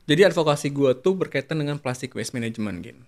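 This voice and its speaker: A man's voice: on the high side, lively intonation, fast, a little mellow. A young adult man with a Jakarta Indonesian accent, chatting casually as a podcast guest in slangy speech sprinkled with English terms. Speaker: podcast guest, pageant contestant.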